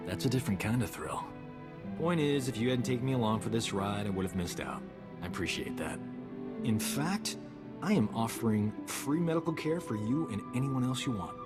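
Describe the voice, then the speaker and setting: An actor playing a cocky, flirtatious doctor in a video game, breezy, joking and pleased with himself. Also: male voice, mid-range voice, animated, moderate pace, over music, natural voice. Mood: breezy, boastful. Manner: breezy, self-satisfied, joking. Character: cocky, flirtatious male doctor, wisecracking space adventurer. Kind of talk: scripted video game acting.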